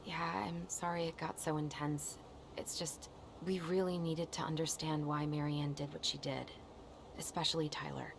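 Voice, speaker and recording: A woman's voice: low-pitched, little pitch movement, normal speed, vocal fry, noisy background. A woman playing an anxious, weary young American woman in a video game, speaking in a hesitant, subdued way. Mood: polite, guarded. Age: young adult. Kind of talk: naturalistic video game dialogue.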